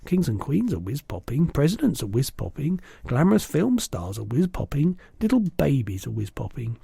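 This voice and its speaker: A man reads the part of a young storybook girl, polite, patient and full of questions. The delivery is soft and a little husky, with a mellow tone. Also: mid-range voice, big pitch swings, normal speed, no room sound, full character voice. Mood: matter-of-fact.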